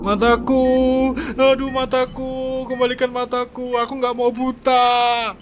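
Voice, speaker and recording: A greedy, scheming cartoon husband, acted by a man, whines and pleads in a high voice. He drops low and sly when plotting. Music plays under the voice. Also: completely flat delivery, a little slow. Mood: wailing. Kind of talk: animated cartoon voice acting.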